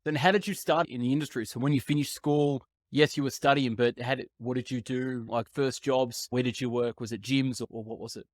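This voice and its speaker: An upbeat man hosts a podcast, asking his guest long, rambling questions in a mid-pitched voice. His voice is recorded close and dry. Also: steady tone, brisk, few pauses, dry recording. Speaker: podcast host. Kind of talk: interview hosting.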